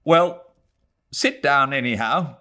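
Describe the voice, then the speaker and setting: A man's voice: medium pitch, takes it slowly, pushed and bright, pitched above the performer's own voice. A man voicing a stiff, exasperated young Englishman who speaks in a clipped, brusque way. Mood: brusque impatience.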